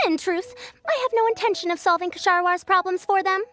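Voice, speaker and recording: Lofty high-voiced young scholar. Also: female voice, steady tone, fairly bright tone. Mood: haughty. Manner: pompous, measured phrasing. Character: self-assured precocious female scholar, lofty and formal. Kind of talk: video game voice acting.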